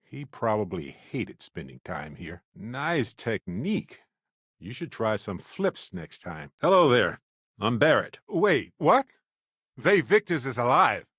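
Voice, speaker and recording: An actor playing an easygoing, good-hearted male space explorer in a video game, with a wry, chatty and playfully expressive delivery. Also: medium pitch, takes it slowly, breathy edge, no echo, clean studio sound, full character voice. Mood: animated, excited. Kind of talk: video game character dialogue.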